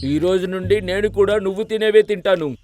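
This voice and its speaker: Man voicing a high-pitched bossy donkey king. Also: fairly quick, darkish tone, lightly acted. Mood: pompous. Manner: pompous, commanding. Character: bossy, boastful donkey posing as lion king. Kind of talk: children's cartoon story dubbing. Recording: music bed behind the voice.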